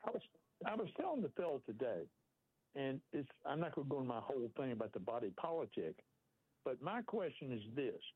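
An older man calling in to a radio show, animated and rambling. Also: medium pitch, fairly quick, breathy edge. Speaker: caller. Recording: tinny phone line.

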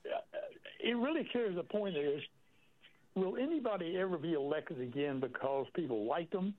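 A man calling a radio show, friendly and halting as he muses on a question. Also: sits high, lively intonation. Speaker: caller. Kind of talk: call-in chat. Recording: dry tinny phone line.

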